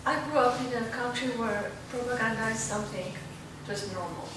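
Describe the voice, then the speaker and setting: A woman from Morocco, a student panelist, speaking slowly and deliberately, with frequent fillers. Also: fairly high pitch. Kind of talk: panel talk at a book launch. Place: large echoey library hall. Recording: echoey hall, distant, room noise.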